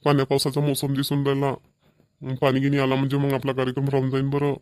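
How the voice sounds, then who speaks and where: A man's voice: mid-range voice, monotone, fast talker, darkish tone, clear, resonant tone, dry recording, clean studio sound, close to an everyday voice. A man voicing plain-spoken village men in a cartoon, with a Vidarbha Marathi accent and a folksy manner. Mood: concerned. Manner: folksy, colloquial. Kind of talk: amateur cartoon dialogue acting.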